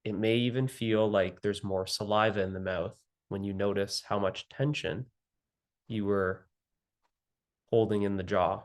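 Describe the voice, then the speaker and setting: Very slow, low-voiced man guiding meditation. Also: steady tone. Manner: gentle, soothing, with long pauses. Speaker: meditation guide. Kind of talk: guided meditation.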